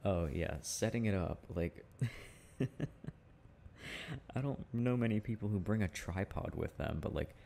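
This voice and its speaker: A young man with a very low, creaky voice speaks in a casual, affectionate boyfriend-roleplay style. His tone is mellow and intimate, chatty and prone to chuckling. There is faint noise behind him. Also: a little slow. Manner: soft affectionate chat with frequent chuckles. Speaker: boyfriend roleplay audio performer.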